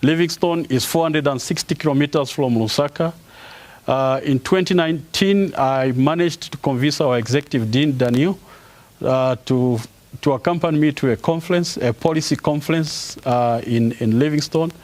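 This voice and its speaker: A man in his forties from Zambia, giving a formal academic presentation as a professor. He pauses often on "uh" and restarts his phrases. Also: a little high, a little mellow. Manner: halting, with frequent "uh" pauses and restarts. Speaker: professor giving an inaugural lecture.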